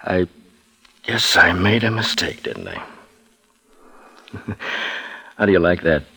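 A guilt-ridden, resigned working man from 1940s radio drama. His voice is low and husky, and he speaks wearily and haltingly. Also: sing-song, bright, forceful tone, exaggerated voice. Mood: resigned, relieved. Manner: halting, subdued. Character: weary, guilt-ridden male typesetter, quietly resigned.

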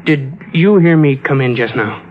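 An actor playing a troubled husband in a mid-century radio play, in a medium-pitched voice. He is tense and easily irritated, and the old recording sounds dull and muffled. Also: animated, normal speed, slight background noise, natural voice. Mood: weary, irritable. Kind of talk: 1940s-50s radio drama acting.

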